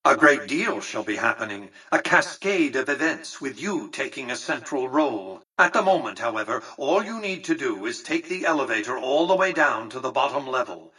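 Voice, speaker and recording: An actor playing an imperious, calculating old tycoon in a video game, speaking formally and precisely with pompous condescension. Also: male voice, mid-range voice, expressive, moderate pace, few pauses, pushed and bright, slightly rough, recorded from a distance. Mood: calculating, proud. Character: imperious, calculating, centuries-old male tycoon ruling a futuristic Vegas. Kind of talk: scripted video game character dialogue.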